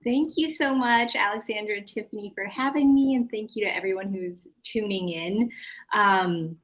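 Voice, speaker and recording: A woman's voice: medium pitch, normal speed, a bit bright. An American woman from California chatting casually as an interview guest, often interrupting herself as she searches for words. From California, United States.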